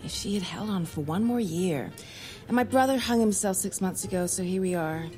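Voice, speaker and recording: An actress playing a blunt, free-spirited young heiress in a screen drama, talking in a wry, unguarded way. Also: female voice, medium pitch, moderate pace, a little mellow, faint music behind, natural voice. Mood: dry, defiant. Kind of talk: naturalistic screen drama acting.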